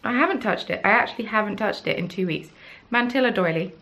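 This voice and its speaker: A woman's voice: mid-range voice, lively intonation, fast, gravelly, fairly bright tone. Chatty Southern English woman podcaster. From south of England. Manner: chatty, rambling, self-deprecating. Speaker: podcast host. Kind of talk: casual chat.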